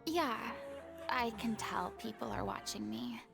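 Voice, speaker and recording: A woman voicing a remorseful young woman in a video game, speaking softly and wistfully, often half-whispered. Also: medium pitch, wildly swooping pitch, measured pace, creaky, over music, exaggerated voice. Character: remorseful young woman, former goddess pretender.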